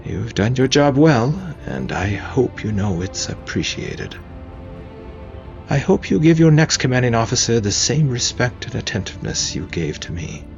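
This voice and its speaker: A man voicing an older woman navy major in audio fiction, a formal and dryly witty mentor with crisp, measured military speech. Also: mid-range voice, sing-song, highs cut off, soft background music, voice pushed up. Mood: warmly approving. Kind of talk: audio fiction character dialogue.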